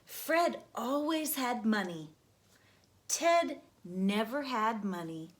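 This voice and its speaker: Slow, expressive woman reading a picture book. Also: a little high, slight background noise. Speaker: storytime host and reader. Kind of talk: children's read-aloud narration.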